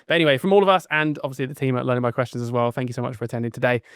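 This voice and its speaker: A British man hosts a webinar for teachers over a video call. He is upbeat and jokey and thanks everyone a lot. His fast, smooth delivery sits dry and close. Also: medium pitch, no room sound. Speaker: webinar host. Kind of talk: casual webinar hosting.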